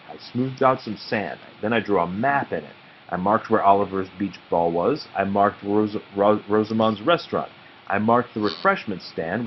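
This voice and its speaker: A man narrating a children's story aloud expressively and deliberately, with dramatic pauses. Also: fairly low pitch. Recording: muffled audio with faint background noise.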